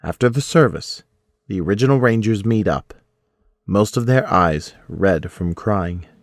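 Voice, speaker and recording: Deep-voiced male narrator, dry recording. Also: unhurried, dry recording. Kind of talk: scripted audio drama narration.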